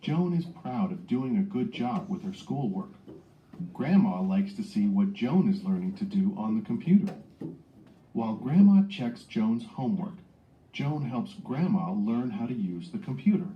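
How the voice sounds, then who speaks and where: A man's voice: mid-range voice, big pitch swings, measured pace. A man reading a scripted children's story aloud as a narrator. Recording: dull lo-fi playback in echoey room.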